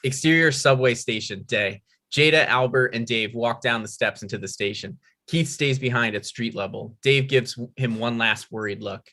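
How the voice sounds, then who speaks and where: A man's voice: mid-range voice, normal speed, few pauses, bright, forceful tone. A man reading screenplay stage directions as scripted narration.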